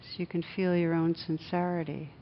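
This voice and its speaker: Female meditation guide, fairly low register, calm instructive phrasing with pauses, faint rumbly noise behind a lo-fi capture. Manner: soothing, measured, with short phrases and pauses. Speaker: meditation teacher leading a group. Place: a room with a live group. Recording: dull lo-fi recording, clear background rumble noise.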